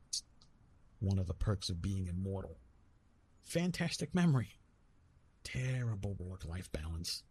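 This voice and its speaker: A man voicing a weary, wry retired god of love in an ASMR roleplay, with dramatic, halting delivery and repeated words. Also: on the low side, husky, full character voice.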